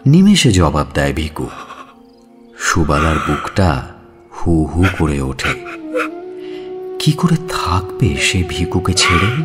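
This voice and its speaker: A man narrating a scripted audio story in a dramatic storytelling style. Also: low voice.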